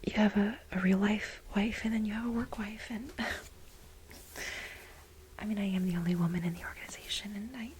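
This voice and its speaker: A woman playing a fawning, gossipy office worker in a soft-spoken ASMR role play, breathy and hesitant. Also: medium pitch, fairly even intonation, slight background noise, lightly acted. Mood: fawning, intimate. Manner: hesitant, halting, nervous giggles, ingratiating. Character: fawning, gossipy female office employee sweet on her boss.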